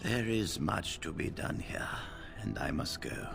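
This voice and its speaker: An old fantasy game wizard with an extremely low, heavily creaking voice. He speaks in a measured, dryly wry way, slow and dignified, with music playing clearly underneath. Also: animated, heavily acted. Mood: fatherly. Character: aged male First Enchanter mage, wry and dignified. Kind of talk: video game dialogue.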